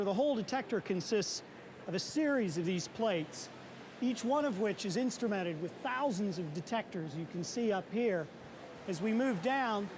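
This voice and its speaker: A man narrating a science documentary as the show's correspondent, explaining in a scripted way. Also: high-pitched. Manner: lively, explanatory delivery. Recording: narration over loud music.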